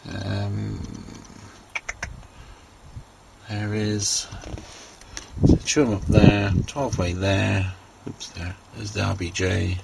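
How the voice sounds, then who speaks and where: A man giving an informal hands-on technical walkthrough, unscripted and halting as he thinks aloud. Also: lowish voice, monotone. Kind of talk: informal hands-on show-and-tell narration. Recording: clearly noisy handheld amateur recording.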